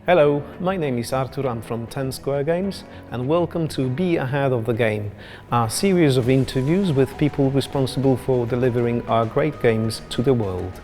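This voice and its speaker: A man hosting a company interview series, upbeat and quick to react to his guest. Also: mid-range voice, a little mellow, soft background music. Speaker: interview host.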